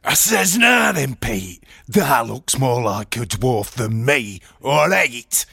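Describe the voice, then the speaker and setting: A man playing a cocky Yorkshire film actor and self-styled hard man, telling a boastful anecdote in a blunt, indignant comic monologue, husky and gravelly. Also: mid-range voice, sing-song, slow, bright, forceful tone, no room sound, clean studio sound, heavily acted. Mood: cocky, menacing. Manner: blunt, indignant storytelling with quoted back-and-forth.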